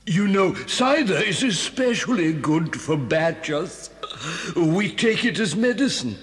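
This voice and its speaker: A man's voice: sits high, animated, measured pace. An actor voicing a genial badger who savours his words in a children's audio drama, with cavernous reverb. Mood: earnest. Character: genial, cider-loving badger friend of the fox. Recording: long cellar-like reverb.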